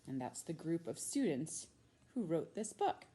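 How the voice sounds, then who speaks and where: A woman with an American accent, a teacher reading a storybook aloud to children with expressive, drawn-out emphasis on key words. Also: low voice, slightly rough. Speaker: middle school science teacher reading a children's book.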